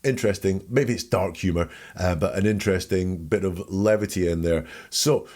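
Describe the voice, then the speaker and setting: A low-pitched man works as a podcast host, talking through a recording in an analytical way. His manner is informal and wry as he reads out quotes and dissects them. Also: moderate pace, darkish tone.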